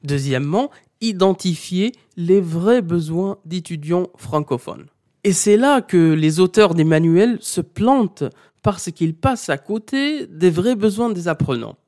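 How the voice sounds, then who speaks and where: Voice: medium pitch, lively intonation, unhurried, darkish tone. A language teacher giving a didactic talk, speaking straight to the learner and insisting on key points by repeating them. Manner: speaks directly to the listener as "tu". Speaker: Arabic teacher.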